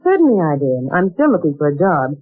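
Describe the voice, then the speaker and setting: A woman's voice: on the low side, sing-song, fast talker, no room sound. An actress playing a proper, hungry young woman looking for work, in a 1940s radio drama, on a tinny old broadcast recording. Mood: earnest. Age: young adult.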